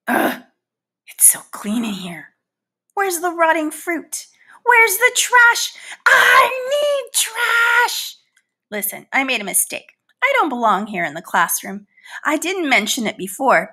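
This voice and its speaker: Storybook housefly, acted by a woman: indignant, easily hurt, boastful, theatrical; slow, forceful bright delivery. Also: high voice, full character voice. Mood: panicked, then confessing. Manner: theatrical, pleading, mock-wounded and boastful. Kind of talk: storybook read-aloud in character.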